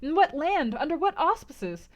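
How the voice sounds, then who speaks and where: A woman's voice: sits high, big pitch swings, moderate pace. A woman reading literary prose aloud as a book club host, with theatrical, emphatic phrasing. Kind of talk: dramatic audiobook-style literary narration.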